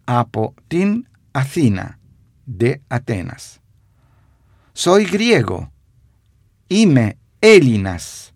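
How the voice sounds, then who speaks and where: A man giving a radio language lesson, repeating phrases slowly with hesitant fillers. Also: medium pitch, sing-song, vocal fry, dry recording, studio quality. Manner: slow, repeats phrases for dictation; stumbling fillers.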